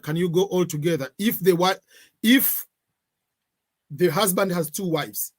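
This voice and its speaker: A middle-aged man, hosting a casual live question-and-answer stream from a room at home, talking hesitantly with many fillers and mixing English with Swahili. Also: a little high. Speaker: livestream host answering viewer questions.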